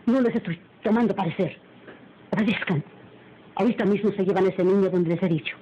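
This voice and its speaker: A woman's voice: mid-range voice, fairly quick, mellow, natural voice. An actress playing a cold, domineering lady of the house in an old radio drama, with an imperious, clipped manner. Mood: commanding. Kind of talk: old Latin American radio drama acting. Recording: muffled old radio serial, background noise.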